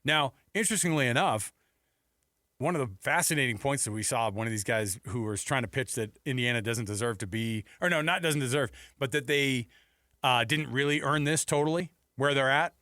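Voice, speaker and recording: A man's voice: mid-range voice, brisk, bright, forceful tone, dry recording, clean studio sound. An American man hosting a sports talk show, debating casually and musing aloud in a conversational way.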